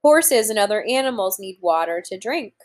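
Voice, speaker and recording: A woman in her thirties reading aloud to young children as a teacher, pausing to explain things simply and deliberately. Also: mid-range voice, animated. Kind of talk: children's read-aloud lesson with explanatory asides. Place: room at home, indoors.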